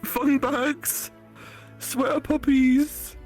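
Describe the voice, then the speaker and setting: A man's voice: very high voice, level tone, very slow. A man playing a game aloud as a streamer, with a cheeky, teasing way of talking that can turn pedantic. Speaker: gaming streamer reading visual novel dialogue. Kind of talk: playful let's play banter and read-aloud. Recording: music behind the voice.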